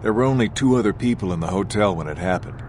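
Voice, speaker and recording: A man's voice: lowish voice, fast, a little mellow, a little hiss or hum, close to an everyday voice. A man playing a gossipy, self-justifying hotel keeper in a video game, sounding matter-of-fact and a little prejudiced. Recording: music behind the voice.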